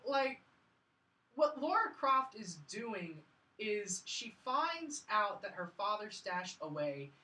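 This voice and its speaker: Girl doing a movie review podcast, bright voice, repeats stuff to make her point, echoey n kinda far from mic. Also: mid-range voice. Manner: emphatic, repeats points for emphasis. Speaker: podcast host reviewing films. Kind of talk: casual film review chat. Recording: echoey room, distant from mic.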